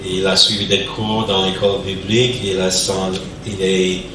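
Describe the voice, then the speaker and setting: Hesitant pastor in an echoey church. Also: male voice, low voice, level tone, moderate pace, noisy background. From Canada, New Brunswick. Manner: hesitant, searching for words. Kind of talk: church service introduction. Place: church sanctuary. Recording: echoey church hall from far off.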